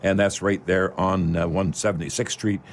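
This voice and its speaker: A man's voice: low voice, fairly even intonation, normal speed. A man with a Canadian accent hosting a live local radio show, talking in a rambling, folksy way straight to his listeners.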